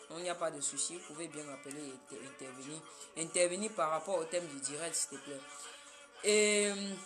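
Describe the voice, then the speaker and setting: A woman's voice: lowish voice, fairly bright tone. A woman from Cameroon, a Yemba speaker, hosting a casual live stream and talking straight to her viewers.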